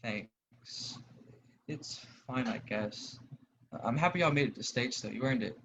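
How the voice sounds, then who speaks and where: A man's voice: medium pitch, measured pace, gravelly. Dull lo-fi audio with faint noise, actor as grieving young man, self-doubting, unsure, apologetic, drama dialogue. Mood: subdued. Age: young adult. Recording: dull, noisy lo-fi audio with clicks.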